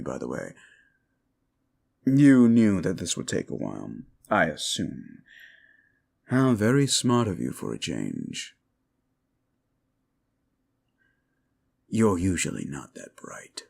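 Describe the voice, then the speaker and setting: A man playing a cruel, sneering demon in an ASMR roleplay, sardonic and condescending, with a gravelly voice. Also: sits low, exaggerated voice. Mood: dry, condescending. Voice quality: gravelly, creaky. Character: cruel, sneering male demon bargaining for wishes. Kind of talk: scripted ASMR roleplay addressed to the listener.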